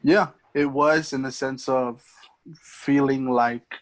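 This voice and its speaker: A young man from Mexico, a podcast guest, talking in a casual, hesitant way with many pauses and restarts. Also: medium pitch, steady tone, poor audio. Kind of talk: casual conversation.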